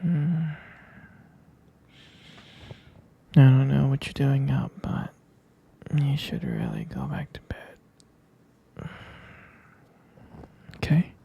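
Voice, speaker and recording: A man playing a gentle, sleepy half-human vampire boyfriend in an ASMR roleplay, murmuring hesitant, tender reassurance close to the mic in a soft, breathy whisper. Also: medium pitch, wildly swooping pitch, slow pace, long pauses, exaggerated voice. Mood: sleepy, soothing.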